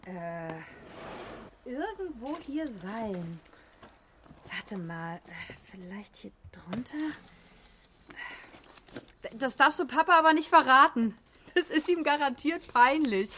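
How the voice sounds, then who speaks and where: A woman playing a romantic, nostalgic mother in a children's radio play, with a husky voice and a colloquial, exclaiming delivery. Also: on the high side, animated, takes it slowly, long pauses, pushed and bright, a little hiss or hum, full character voice. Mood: distracted, searching.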